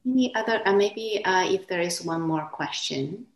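A woman whose first language is Chinese, gently moderating an online class over a video call, hesitant with soft laughs. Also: lowish voice, expressive, moderate pace. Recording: echoey room on a video call.

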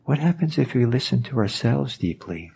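A man whose first language is Norwegian, giving a reflective meditation talk, pausing and searching for words as he goes. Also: medium pitch, sing-song, gravelly, mellow, a little hiss or hum. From Norway. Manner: thoughtful, hesitant, searching for words.

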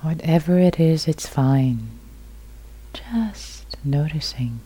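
A woman leads a guided meditation in a soft, breathy voice with a dark, relaxed tone. She speaks very slowly, in short soothing phrases set apart by long pauses. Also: deep voice. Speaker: meditation teacher.

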